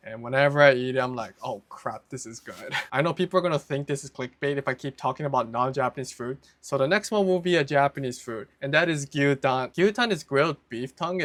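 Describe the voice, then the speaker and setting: A man's voice: mid-range voice, a bit bright, no echo. Casual young American man, very dry sound. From Hawaii, United States. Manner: informal, conversational, mild slang. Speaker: vlogger presenting a list of favourite foods. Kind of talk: casual talk to camera.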